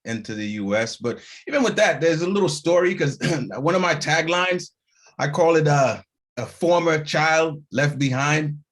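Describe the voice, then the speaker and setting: Animated man telling a story. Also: fairly high pitch, moderate pace. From Haiti. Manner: animated storyteller who acts out quoted voices and laughs at himself. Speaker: guest actor and producer. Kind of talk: casual interview storytelling.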